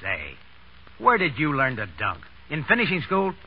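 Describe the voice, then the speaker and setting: An actor playing a wry, teasing, bossy wisecracker in a 1930s radio drama. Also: male voice, a little high, wildly swooping pitch, moderate pace, husky, exaggerated voice. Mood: brisk, bossy. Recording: muffled, noisy 1930s radio broadcast.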